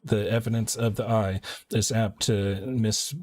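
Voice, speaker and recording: A man's voice: on the low side, flat delivery, unhurried, a little mellow, a little hiss or hum. A man live streaming a game, giving casual commentary and reading dialogue aloud.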